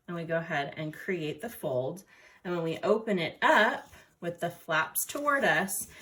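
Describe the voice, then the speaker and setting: Lower-voiced woman giving craft instructions. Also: fairly bright tone. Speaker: art teacher. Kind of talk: step-by-step craft instruction for children. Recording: noticeable background noise.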